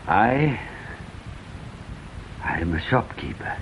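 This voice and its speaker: A man's voice: sits low, big pitch swings, slow pace, full character voice. A man playing a wry, wordy English provincial shopkeeper in an old-time radio drama, with an arch and elaborately formal manner. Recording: muffled, faintly noisy old radio recording.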